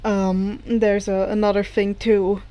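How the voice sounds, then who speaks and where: A woman voicing a subdued, awkward teenage boy who speaks in a halting, hesitant way. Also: medium pitch, little pitch movement, mellow, pitched below the performer's own voice, natural voice.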